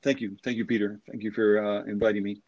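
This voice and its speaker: A man's voice: on the low side, little pitch movement, fast, a little mellow, dry recording, muffled recording. A man born in Cuba and raised in Miami, answering interview questions conversationally, with reflective, hesitant phrasing. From born in Cuba, grew up in Miami from age six. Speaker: architect, podcast guest.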